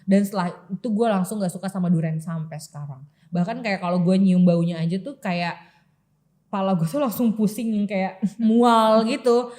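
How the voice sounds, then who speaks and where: A woman's voice: medium pitch, normal speed, dark tone. A young woman with a Jakarta accent speaks in a middle register. She tells personal stories in an informal, chatty way as a podcast co-host, slipping into quoted dialogue as she goes. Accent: Jakarta Indonesian. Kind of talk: casual chat, personal storytelling.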